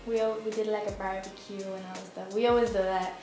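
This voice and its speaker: A young woman who grew up in Spain, chatting casually and softly as an interview guest, with many filler words. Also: mid-range voice, steady tone, fast. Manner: soft-spoken, laid-back, lots of "like" fillers. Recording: echoey room, faint music behind.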